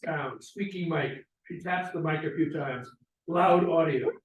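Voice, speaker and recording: A high-pitched male voice reads stage directions aloud at a table read. He is recorded from some distance in an echoey room. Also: measured pace.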